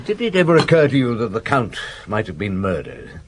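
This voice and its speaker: An actor playing a shrewd, commanding police chief inspector in a radio detective drama, probing and authoritative. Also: male voice, medium pitch, big pitch swings, fairly quick, noisy background, natural voice.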